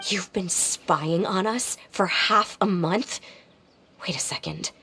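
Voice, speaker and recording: A woman's voice: medium pitch, takes it slowly, sharp tone, a little hiss or hum. An actress voicing a defiant young woman accused of betrayal, speaking bitterly and accusingly.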